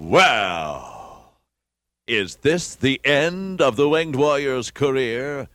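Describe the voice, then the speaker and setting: An actor playing a grandiose mock-heroic announcer, narrating a radio serial with pompous drama. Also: male voice, medium pitch, big pitch swings, drawn-out words, fairly bright tone, no room sound, clean studio sound, exaggerated voice.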